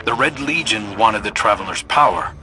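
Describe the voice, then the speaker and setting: A man's voice: fairly low pitch, normal speed, background noise, close to an everyday voice. A man playing a brooding, restless young scout pilot in a video game, understated and sincere. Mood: dry. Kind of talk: scripted video game acting. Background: music.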